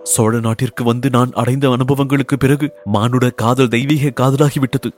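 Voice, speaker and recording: A man's voice: medium pitch, fairly even intonation, normal speed, lightly acted. Man reading as a devoted, lovestruck dancing woman. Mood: devoted, reverent. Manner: earnest, poetic, pleading. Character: devoted lovestruck woman temple dancer, humble, reverent.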